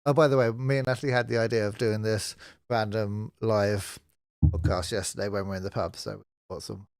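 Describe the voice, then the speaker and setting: A young man co-hosting a casual live podcast, chatty and relaxed, laughing often. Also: lowish voice, brisk, clear, resonant tone, dry recording, clean studio sound. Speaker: podcast co-host, touring musician.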